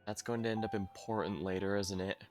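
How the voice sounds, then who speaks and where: A man's voice: lowish voice, fairly even intonation, fairly quick. A man giving casual livestream commentary as he plays through a story game. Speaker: game streamer. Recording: game music behind the voice. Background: game music.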